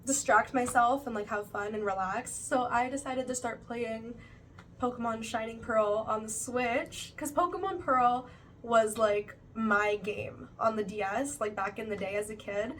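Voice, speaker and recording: A young woman talks through her month in a relaxed, rambling way, leaning on "like" and "you know". Her voice sits a little high and sounds a touch stuffy. The mic is some distance away, with a faint hiss of background noise behind her. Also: a little slow. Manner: chatty, uses many fillers like "like" and "you know". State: slightly stuffy nose, still recovering. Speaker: vlogger. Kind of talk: casual monthly recap vlog.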